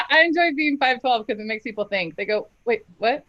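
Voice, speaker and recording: A woman's voice: fairly high pitch, lively intonation, fairly quick, noisy background. Candid woman singer, slightly dull sound. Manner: candid, laughs often, emphatic. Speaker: opera singer, dramatic soprano. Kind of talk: casual interview talk. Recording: slightly dull live stream audio.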